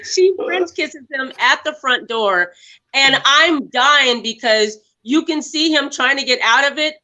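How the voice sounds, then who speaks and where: A woman guest on a movie podcast, retelling scenes with animated laughter. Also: high voice, a little slow, low-quality recording. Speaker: guest actress. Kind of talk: casual movie review chat.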